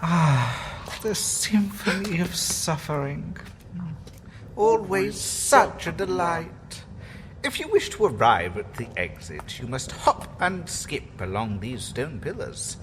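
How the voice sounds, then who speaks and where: An actor playing a sadistic, theatrical male villain who savors suffering, with a grandiose, drawn-out delivery. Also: fairly high pitch, big pitch swings. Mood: gloating. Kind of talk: audio drama acting. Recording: long reverb with background noise.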